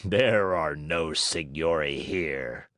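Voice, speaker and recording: A man voicing a gruff, bearded male puppet-theater director with a hoarse voice, slow, booming and pompous. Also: a bit bright, no echo, studio quality, exaggerated voice.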